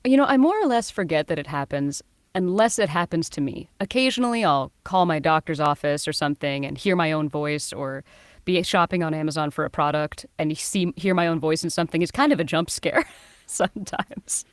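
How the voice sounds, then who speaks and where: A woman voice actor as an interview guest, answering in a lively way and laughing often. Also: deep voice, brisk, no room sound. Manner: bright, laughing, animated answers. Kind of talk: casual interview chat.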